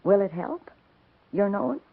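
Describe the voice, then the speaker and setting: Timid frontier wife, tinny radio. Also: female voice, mid-range voice, lively intonation, unhurried. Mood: remorseful, earnest. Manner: hesitant, confiding, self-effacing. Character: timid, earnest, guilt-ridden frontier wife. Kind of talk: 1950s radio western acting. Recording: tinny, hissy 1950s radio recording.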